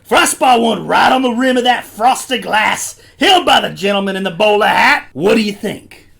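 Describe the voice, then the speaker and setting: A man playing a boastful Wild West sharpshooter, loud and showy, hollering grandiose challenges in an audio drama. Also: high-pitched, lively intonation, normal speed, gravelly, full character voice. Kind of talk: western audio drama acting.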